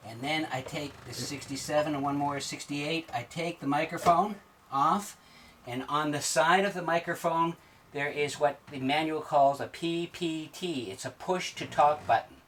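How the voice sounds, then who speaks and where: A man in his sixties giving a step-by-step instructional demonstration in a plain, methodical way with hesitant pauses. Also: on the high side, a bit bright. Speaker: sailing instructor. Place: small wood-panelled sailboat cabin at the navigation station. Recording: distant mic, clear background noise.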